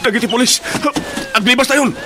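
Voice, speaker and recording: A man's voice: very high voice, moderate pace. A man with an Ilocano accent playing a nervous, fretful henchman fleeing police in a radio drama. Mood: panicky. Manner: anxious, hesitant, keeps starting with gasped "ah". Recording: loud sirens and vehicle noise behind.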